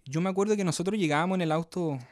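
A man's voice: high-pitched, racing, no echo, studio quality. A man in his thirties from Australia with Chilean parents, a dancer telling his story as an interview guest, often checking in with "¿me entiendes?". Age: 30s. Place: Melbourne.

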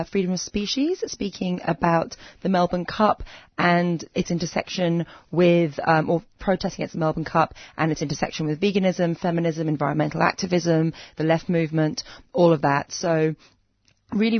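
A woman's voice: lowish voice, no echo. A woman hosting a community radio show, casually linking segments with a few fillers. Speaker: radio host. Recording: dry lo-fi radio studio.

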